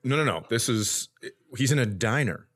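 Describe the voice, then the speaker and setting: A man's voice: medium pitch, animated, normal speed, a bit bright, breathy edge. A man in his forties, a comedian and podcast host, telling stories casually and acting out the dialogue with mock bravado. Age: 40s. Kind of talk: casual comic storytelling.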